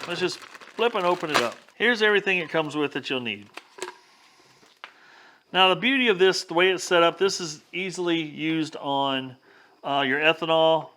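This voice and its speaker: Higher-pitched man, folksy how-to host. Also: sharp tone. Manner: plainspoken and folksy. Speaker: vlog host giving a DIY demonstration. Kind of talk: casual hands-on how-to talk.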